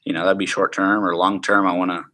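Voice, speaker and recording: A young man with a lowish but bright, forward voice, teaching a prayer-school class in a loose, conversational way. He rambles a little, with many "okay"s and "right?"s. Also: flat delivery, fast. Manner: conversational, rambling, laughs softly, asks the room "right?". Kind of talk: informal teaching talk.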